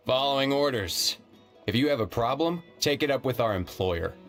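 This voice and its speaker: A man's voice: medium pitch, expressive, moderate pace, close to an everyday voice. Man doing a surly mercenary guard, curt and scoffing, music behind. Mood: dismissive. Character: surly male mercenary bodyguard.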